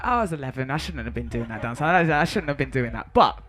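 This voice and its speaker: A woman talks on a festival panel, her voice bright and forceful. She tells her stories with lively, animated energy and laughs often. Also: a bass voice, fast. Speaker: panel guest, music artist.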